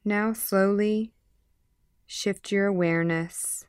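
A woman guiding a meditation in a soothing, measured manner, leaving long pauses between phrases. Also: mid-range voice, steady tone, clean tone, dry recording, studio quality. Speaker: meditation guide, nurse. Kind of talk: guided meditation narration.

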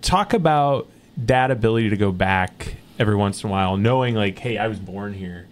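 A man hosting a casual interview who speaks in a measured, conversational way, tossing in "right?" as he goes. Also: fairly low pitch, a little hiss or hum.

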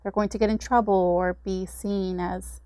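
A woman's voice: mid-range voice, level tone, moderate pace, mellow, no room sound. A woman who is a community services director, explaining steadily in an interview with the occasional filler. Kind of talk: informational interview.

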